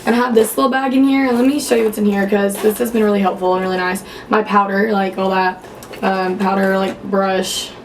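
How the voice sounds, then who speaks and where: Chatty hoarse young woman vlogging. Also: medium pitch, flat delivery, a little fast, a little hiss or hum, rough recording. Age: late teens. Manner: chatty, rambling, many "like" fillers. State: hoarse, losing her voice. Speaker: college freshman vlogger. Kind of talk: casual vlog.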